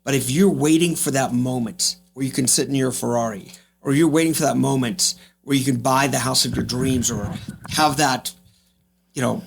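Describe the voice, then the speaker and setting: A man in his fifties talks as a guest in a reflective interview. His voice sits at a medium pitch with a gravelly creak. He drives points home by repeating phrases. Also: moderate pace. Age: 50s. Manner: emphatic, repeats phrases for effect. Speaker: podcast guest, real estate agent.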